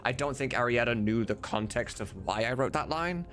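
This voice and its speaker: A man's voice: mid-range voice, fairly quick, a bit bright. A man livestreaming as he works, chatting casually with viewers and muttering to himself. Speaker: livestreamer and game modder. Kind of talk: casual chat while working.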